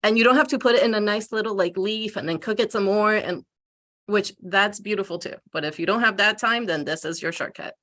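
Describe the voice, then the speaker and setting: A woman panelist telling personal stories in a casual, animated, informal way. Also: medium pitch, fairly quick.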